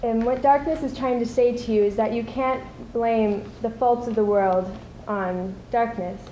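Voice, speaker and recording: A woman's voice: on the high side, steady tone, unhurried, mellow. A teenage girl giving a formal, rehearsed introduction to her competition piece. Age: teens. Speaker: student speech performer. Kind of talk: prepared competition speech introduction. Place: echoey indoor room before an audience. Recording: echoey room, faint noise.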